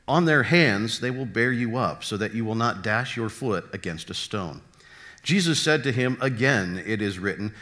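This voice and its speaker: A man reading scripture aloud with an expressive delivery. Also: mid-range voice. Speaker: scripture reader.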